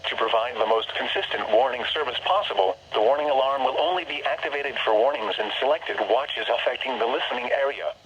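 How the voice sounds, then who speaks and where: A synthetic male voice with an American accent reads a scripted bulletin in a formal, measured, impersonal way over weather radio. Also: mid-range voice. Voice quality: synthetic text-to-speech voice. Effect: weather radio broadcast. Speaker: automated weather radio announcer. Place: broadcast received on a radio. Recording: muffled, noisy weather radio reception.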